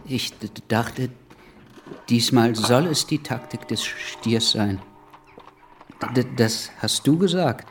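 An actor playing a tired, calm but insistent revolutionary who stutters on his consonants in a radio drama. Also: medium pitch, slow pace, long pauses, soft background music, close to an everyday voice. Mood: needling. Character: weary, stuttering male revolutionary pressing for action. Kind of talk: naturalistic radio drama acting.

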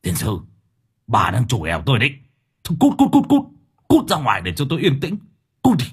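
A bad-tempered, bossy old village husband, put on by a male storyteller. He snaps and barks in a gruff, husky voice, and his tone jumps up and down as he scolds. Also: mid-range voice, huge pitch swings, a little fast, full character voice. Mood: angry. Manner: gruff, snapping, cursing.

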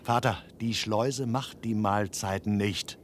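A man's voice: fairly low pitch, steady tone, slow. An actor playing an earnest, desperate younger man in a radio play, urgent and imploring, pleading with his father. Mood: earnest, insistent. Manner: urgent, imploring, repeats phrases. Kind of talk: German radio play acting. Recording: noisy old radio play with crowd.

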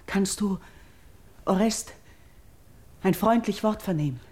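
An actress playing a noble priestess and tender, pleading sister in a classical verse radio drama, solemn and breathy, in measured declamation. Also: female voice, mid-range voice, darkish tone. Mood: pleading, earnest.

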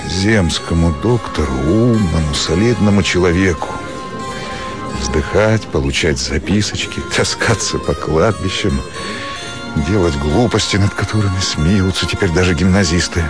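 Slow, deep-voiced country doctor. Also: male voice, over music. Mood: self-doubting. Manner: reflective interior monologue with drawn-out pauses. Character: intelligent, respectable male country doctor, musing to himself. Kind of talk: radio drama monologue.

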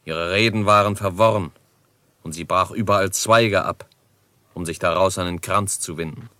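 A man's voice: sits low, normal speed, sharp tone, no room sound. A male actor playing a proud, dignified chief in a German radio drama, with a grave and formal way of speaking.

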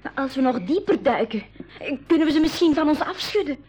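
An actress playing a cheeky, spirited young girl in an animated, pert way in a 1980s school radio drama. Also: high-pitched, fairly quick. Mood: indignant, pert.

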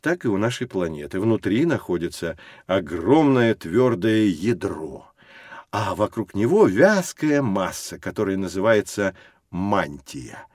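A low male voice with a very lively melody and a dry, close sound. He is patient and didactic, pausing for emphasis as he explains to children in an educational audio drama. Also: no room sound, exaggerated voice. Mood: patient, instructive. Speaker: teacher.

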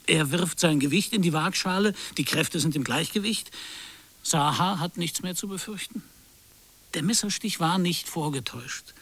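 A terse, sombre man recites in a husky voice pitched slightly high. His phrases are clipped and solemn, in the style of literary radio drama. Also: normal speed, a bit bright. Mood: sombre, intent.

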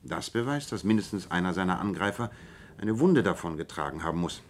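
An actor playing a shrewd, self-assured male detective in a radio play, explaining in a measured and precise way. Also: low voice, animated. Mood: analytical, assured. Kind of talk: German radio play dialogue.

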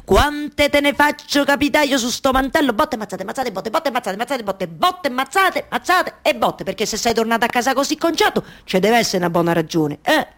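An actress playing a weary, exasperated yet tender waiting wife in a theatrical radio monologue, with a Roman accent and halting, repetitive phrasing. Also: female voice, a little high, animated, fairly bright tone. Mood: scolding, exasperated. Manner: halting, obsessively repeating words and phrases.

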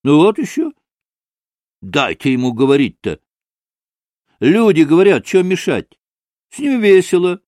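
A man's voice: on the high side, sing-song, measured pace, long pauses, a little mellow, no room sound, studio quality, voice pushed up. A man voicing an irritable, outspoken young woman in a storybook, snappy and colloquial. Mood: annoyed, snapping.

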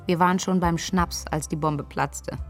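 An actress playing a young student in a radio play, recalling a drunken night of grief in a candid, dry, conversational way. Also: female voice, fairly low pitch, fairly even intonation, normal speed, soft background music, natural voice. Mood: wry, candid. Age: young adult. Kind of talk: interview-like monologue in a radio play.

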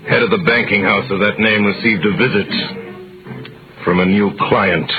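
An actor narrating as a storyteller in a scripted 1930s radio drama. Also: male voice, fairly low pitch, fairly even intonation, moderate pace, close to an everyday voice. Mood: measured storytelling. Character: male storyteller narrating a classic adventure tale. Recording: muffled vintage radio recording, music behind.

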